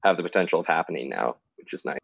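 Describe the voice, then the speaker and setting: A man's voice: rumbling low, animated, very fast, gravelly, slightly rough, no echo, dull audio. A man co-hosting a casual chat, easygoing as he steers the talk. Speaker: co-host.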